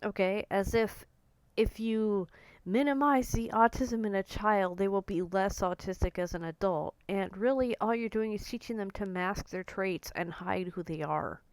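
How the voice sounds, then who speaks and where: A woman in her forties talking casually to camera in a blunt, outspoken way, her voice a little croaky and hoarse. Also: medium pitch, moderate pace, no echo, low-quality recording. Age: 40s. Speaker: vlogger.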